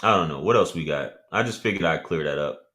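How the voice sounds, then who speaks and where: A man with a deep voice hosting a casual livestream, reading chat comments and talking loosely with profanity and laughing asides. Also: fast talker, sharp tone.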